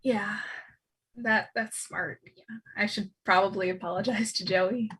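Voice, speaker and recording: Woman playing a flustered, bright-voiced, husky co-op worker. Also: medium pitch, fairly even intonation, a little slow. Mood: sheepish. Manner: stammering, flustered. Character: nervous, guilt-ridden, awkward co-op worker Cass. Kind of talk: comedy table read.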